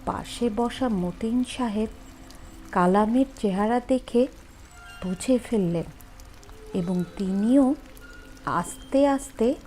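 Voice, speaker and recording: A woman narrating a horror story in a suspenseful, drawn-out way with dramatic pauses. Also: a little high, dark tone, soft background music.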